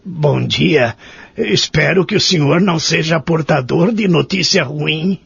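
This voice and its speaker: Man playing a creaky, anxious old man. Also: on the high side, unhurried, fairly bright tone, no room sound, full character voice. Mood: worried. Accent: Brazilian. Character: anxious, remorseful 84-year-old man in a care home. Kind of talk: audio drama acting and first-person narration. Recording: dull muffled audio with background music.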